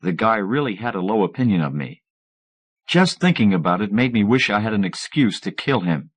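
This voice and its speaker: Low-voiced man reading a novel. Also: sing-song, fairly quick. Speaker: audiobook narrator. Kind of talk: scripted first-person novel narration with acted dialogue.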